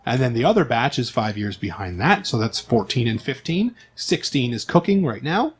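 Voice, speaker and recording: A man's voice: mid-range voice, big pitch swings, moderate pace, slight background noise. A man acting as game master, explaining the game in a casual, joking, conversational way. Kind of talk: casual tabletop role-playing narration and explanation.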